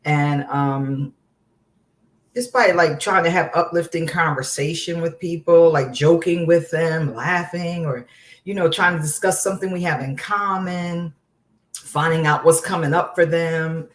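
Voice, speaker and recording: A woman guest in an interview who speaks calmly and softly, often filling her speech with "you know". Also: low voice, unhurried. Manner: soft, calm, leans on "you know" fillers. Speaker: guest, wellness coach. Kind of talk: casual interview conversation.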